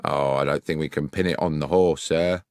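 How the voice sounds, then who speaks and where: A man's voice: rumbling low, fairly even intonation, moderate pace, darkish tone, no room sound. A man voicing a stubborn, insolent portly village policeman with a British accent and a clipped, smug manner.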